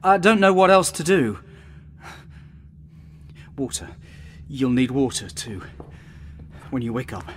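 An actor playing a lonely, desperate, grieving survivor in an audio drama, speaking in halting, broken phrases. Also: male voice, mid-range voice, sing-song. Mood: worried. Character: grief-stricken, desperate, lonely male survivor. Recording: clear background ambient noise.

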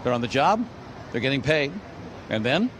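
A man's voice: medium pitch, big pitch swings, normal speed, a bit bright, a little hiss or hum. An older man with an American accent reporting as a television news correspondent on a handheld microphone. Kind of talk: live news report and scripted narration. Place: airport terminal and curbside.

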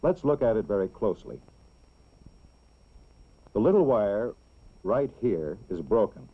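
An American man reads scripted narration in the style of a 1960s educational film, explaining things simply and deliberately for children. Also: on the low side, sing-song. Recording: dull, lo-fi old film soundtrack.

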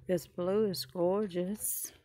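A woman's voice: on the low side, fairly even intonation, takes it slowly, dark tone, a little hiss or hum. A woman shopping vlogger giving casual running commentary as she browses a large furniture showroom.

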